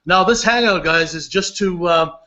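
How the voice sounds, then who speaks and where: A man's voice: high-pitched, normal speed, bright, forceful tone, lo-fi sound. A man with a Southern accent hosting a casual online hangout, hyped and repeating "of course" and "guys". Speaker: livestream host.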